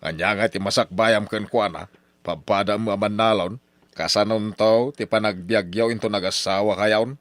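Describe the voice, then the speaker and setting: A man's voice: fairly low pitch, steady tone, moderate pace, fairly bright tone, no echo, natural voice. An actor with an Ilocano accent playing a scheming, bossy, middle-aged farmer father in an old-style radio drama, grumbling and scolding. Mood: insistent, scheming. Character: scheming, money-minded father, a poor farmer, nagging and bossy.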